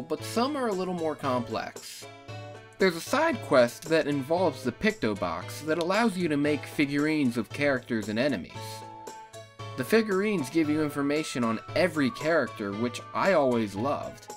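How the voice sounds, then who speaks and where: Man narrating a game review over music. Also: fairly high pitch. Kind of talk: scripted opinion video narration. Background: background music.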